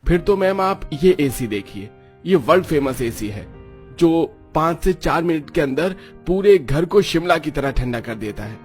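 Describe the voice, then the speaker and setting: A man voicing a polite shop salesman pushing his goods in an animated story. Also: fairly high pitch, measured pace, soft background music. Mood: eager, polite. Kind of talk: animated Hindi story acting.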